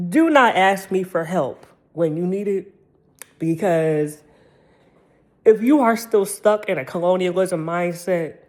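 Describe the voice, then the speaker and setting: A man's voice: sits high, darkish tone. Sassy American man on a rant. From United States. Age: 30s. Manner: sassy, slangy, emphatic, repeating phrases. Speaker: commentator. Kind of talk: casual opinionated monologue.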